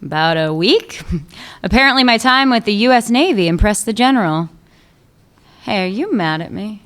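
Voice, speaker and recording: A woman's voice: mid-range voice, expressive, normal speed, fairly bright tone, lightly acted. A woman playing a sly woman police chief who teases an old lover, in a radio play. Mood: sparring. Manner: teasing, needling, wry. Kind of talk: amateur radio drama acting.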